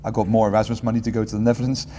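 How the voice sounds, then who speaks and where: A man in his forties answering interview questions in a relaxed, meandering way, often correcting himself mid-sentence. His voice is low and mellow. The room gives a long reverberant tail, with faint ambience underneath. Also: rapid-fire, a little hiss or hum. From England. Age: 40s. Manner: relaxed, rambling, self-correcting with light humor. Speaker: guest, entrepreneurship teacher.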